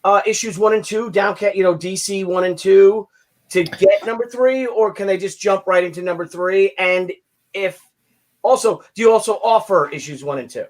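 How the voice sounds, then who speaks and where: Male interview co-host, notably high voice, informal and rambling. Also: normal speed. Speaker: show co-host. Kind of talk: casual interview questioning.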